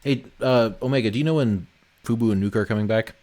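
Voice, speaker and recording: A man's voice: medium pitch, quick pace, darkish tone. A man hosting a game development stream, chatting casually and swearing freely as he works. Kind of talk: casual live stream chat. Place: indoor voice chat on a development livestream.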